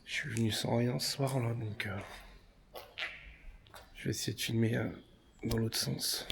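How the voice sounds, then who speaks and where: A man investigating a derelict industrial building talks to his camera, hesitant and full of fillers, now and then dropping to a hushed murmur. His voice sits at an ordinary middle pitch. It rings through a large empty hall with long reverb and a faint hiss of noise. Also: fairly even intonation, measured pace, gravelly. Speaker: paranormal investigator. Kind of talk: exploration vlog, calling out to spirits.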